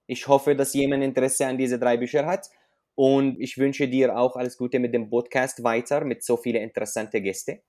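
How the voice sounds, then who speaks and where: A man's voice: mid-range voice, flat delivery, brisk, warm tone. A man from Syria, a native Arabic speaker with an Arabic accent, talking as an author in an interview and often searching for words in a language he learned later. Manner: hesitant, searching for words in non-native German, explains with examples. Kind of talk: conversational interview.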